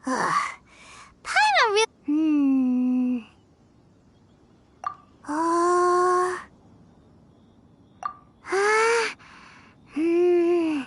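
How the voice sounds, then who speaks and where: Very squeaky little fairy companion. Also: female voice, big pitch swings, drawn-out words, pauses often, full character voice. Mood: exasperated. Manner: whiny, exclamatory. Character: small childlike floating fairy companion, excitable and blunt. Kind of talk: anime game voice acting. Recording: loud music behind. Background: loud game music.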